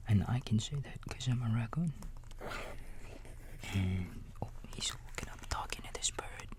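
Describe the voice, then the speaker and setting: A man playing a kindly raccoon babysitter in a bedtime ASMR roleplay, whispering softly and gently reassuring a small child in a folksy, halting way. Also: medium pitch. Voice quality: soft, breathy whisper. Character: kindly big-brotherly male raccoon babysitter.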